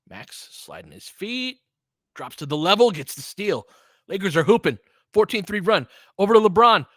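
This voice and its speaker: Animated, bright-voiced man calling basketball. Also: high-pitched, moderate pace, dry recording, clean studio sound. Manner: informal fan reactions, slangy. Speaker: host of a fan play-by-play stream. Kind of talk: live basketball play-by-play commentary mixed with casual chat.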